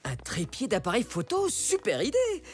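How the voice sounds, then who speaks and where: A cheerful, energetic cartoon zebra father. He speaks with lots of melodic movement and upbeat enthusiasm. Clear children's music sits beneath him. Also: sits high, normal speed. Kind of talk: cartoon dubbing.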